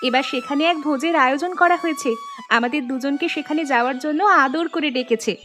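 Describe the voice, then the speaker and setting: High-pitched, caring young wife for a family cartoon, acted by a woman; deliberate storybook delivery, music underneath. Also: fairly bright tone. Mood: earnest, warm. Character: dutiful young married daughters, cheerful and caring. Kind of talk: Bengali animated family story dialogue.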